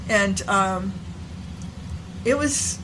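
An older woman telling her stepdaughter about her past in a casual interview, unhurried and reflective, often trailing off while she searches for words. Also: mid-range voice. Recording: noticeable background noise.

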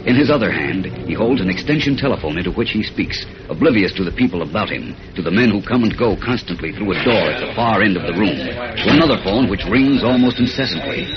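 A man's voice: on the low side, expressive, fast talker. A man with an American accent reading scripted scene-setting narration for a 1940s radio drama. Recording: muffled old radio recording with music.

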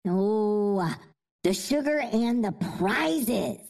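A woman's voice: medium pitch, animated, drawn-out words, creaky, darkish tone, heavily acted. A woman playing a bossy, earnest young girl with schemes, in a comedic audio sketch. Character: bossy, scheming young girl, prize-obsessed best friend.

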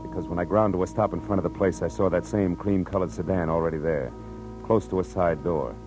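A man's voice: deep voice, steady tone, brisk, close to an everyday voice. An American man playing a tough, world-weary private detective in 1940s radio drama, clipped and matter-of-fact. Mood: grim narrating. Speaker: lead actor and narrator. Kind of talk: 1940s radio acting, first-person narration and dialogue. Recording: vintage radio drama with music.

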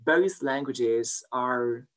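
A man's voice: mid-range voice, drawn-out words, fairly bright tone. A young Belgian man with a French accent, a native French speaker, chatting as an interview guest with many fillers and restarts. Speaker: guest, polyglot and language teacher. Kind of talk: casual interview conversation.

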